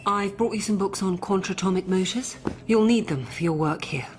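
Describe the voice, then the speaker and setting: A woman's voice: mid-range voice, moderate pace, noisy background, close to an everyday voice. An actress playing a secretive, uneasy professional woman in a radio drama, speaking in short, wary phrases. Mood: polite, curious. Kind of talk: scripted radio drama acting. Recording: music clearly audible behind voice.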